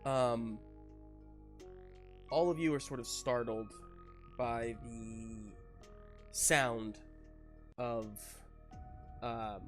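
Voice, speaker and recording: Slow-paced game master over music. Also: mid-range voice, steady tone. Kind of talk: improvised narration of a tabletop game.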